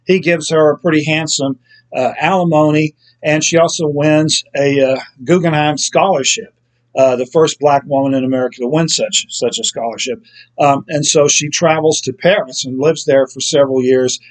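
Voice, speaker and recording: A man with a slightly higher-than-average voice hosts a book review, explaining an author's background in a loose, unscripted way. He fills his pauses with ums and uhs and often stops to correct himself. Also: fairly even intonation, poor audio. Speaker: book reviewer, show host. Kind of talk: casual spoken book review.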